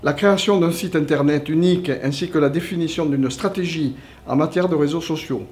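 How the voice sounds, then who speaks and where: A man giving a prepared, formal presentation as a tourist office representative, in a measured, official manner and partly reading it out. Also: a little high, a little mellow.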